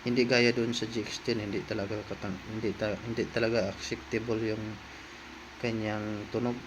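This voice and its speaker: A man's voice: on the low side, level tone, normal speed, noisy background. A man with a Filipino accent giving a casual hands-on unboxing review, talking informally to viewers and often repeating himself. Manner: informal, repetitive, talking to viewers as he handles items. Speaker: vlogger, product reviewer.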